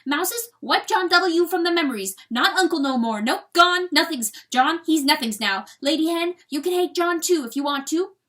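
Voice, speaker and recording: A woman's voice: high voice, very animated, normal speed, hardly any pauses, fairly bright tone, pitched above the performer's own voice. A woman voicing an agitated, stubborn male weasel in a storybook, blustering and repeating himself in broken grammar. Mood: harsh, bitter. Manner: blustering, repetitive, third-person broken speech.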